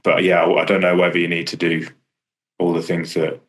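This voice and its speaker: A man with a very low, deep voice talks casually in an interview. His delivery is flat and understated, wandering through his thoughts with a self-deprecating modesty. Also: a little fast. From England. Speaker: kickboxer and striking coach. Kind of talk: casual interview chat about own career.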